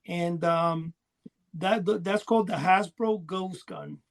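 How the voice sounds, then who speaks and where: A man with a noticeably high voice talks casually as a podcast co-host. He rambles chattily, piling up small details as he reminisces. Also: slow. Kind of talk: casual rambling reminiscence about old toys.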